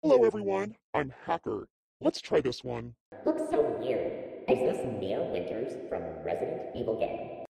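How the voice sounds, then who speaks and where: Gravelly, menacing deep machine. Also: female voice, wildly swooping pitch, normal speed, mellow, heavily acted. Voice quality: gravelly, husky. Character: menacing deep robotic hacker and killer machine. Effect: pitched-down horror and robot voice changer. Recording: distant processed audio, long reverb.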